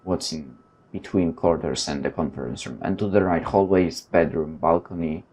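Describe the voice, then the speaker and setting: A man with a fairly low voice hosts a gaming video. He reads story text aloud and breaks off into informal, animated commentary of his own. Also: normal speed, husky. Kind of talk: let's play commentary, reading game text aloud.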